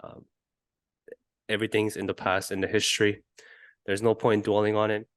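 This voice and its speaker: Male podcast host, lowish voice, casual and hesitant sports talk, close dry sound. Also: completely flat delivery, moderate pace, dry recording. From Asia. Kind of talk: casual football chat.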